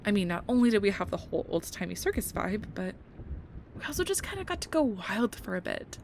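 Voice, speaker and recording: A woman acts out a nerdy young girlfriend in an intimate ASMR roleplay. She has a mid-pitched voice with plenty of fry and talks in playful, excitable rambles. A thunderstorm is clearly audible behind her. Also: fairly quick. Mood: playful, warm. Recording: storm ambience with thunder behind. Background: thunder and storm noise.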